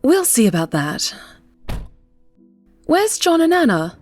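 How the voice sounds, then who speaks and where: Female narrator as wealthy society wife, arch, haughty, world-weary, lifted above her narrating pitch, music behind, audiobook. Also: big pitch swings, takes it slowly, a little mellow, full character voice. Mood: wry, curious. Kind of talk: audiobook character voice over music.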